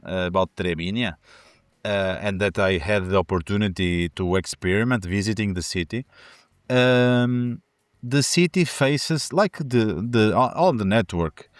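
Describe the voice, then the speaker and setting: A man's voice: on the low side, dry recording. A man hosting an interview, asking questions hesitantly and rephrasing them as he goes. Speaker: host.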